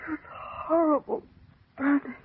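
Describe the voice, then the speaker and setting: A woman's voice: high-pitched, fairly even intonation, takes it slowly. An actress playing a distraught, pleading young woman with a tremulous delivery in 1940s radio melodrama. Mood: anguished. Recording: tinny noisy old radio recording.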